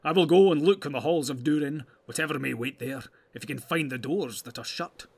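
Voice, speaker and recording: A man's voice: a little high, brisk, gravelly, husky, voice pushed up. A man voicing a bold, loyal, eager dwarf in a fantasy audiobook, with emphatic archaic speech.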